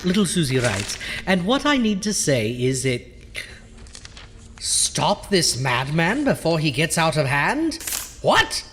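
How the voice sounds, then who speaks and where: A woman's voice: low-pitched, big pitch swings, takes it slowly, a bit bright, big-room sound, a little hiss or hum, heavily acted. A woman playing a vain, pompous ghoulish horror host in a campy audio show, with florid theatrical delivery. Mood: wounded, melodramatic.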